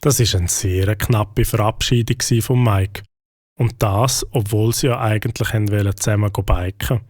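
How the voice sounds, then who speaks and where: A man's voice: lowish voice, fairly even intonation, normal speed, darkish tone, dry recording, clean studio sound. A man with a Swiss German accent, reading scripted narration for a children's audio drama.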